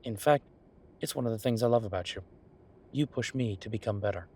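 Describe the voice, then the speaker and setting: Man acting as husky, low-voiced devoted boyfriend chef. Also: a little fast, mellow. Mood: fond, playful. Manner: conversational, reassuring, playfully bantering. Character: affectionate, teasing male restaurant chef and devoted boyfriend. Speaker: voice actor in a roleplay audio. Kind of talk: intimate one-sided boyfriend roleplay acting.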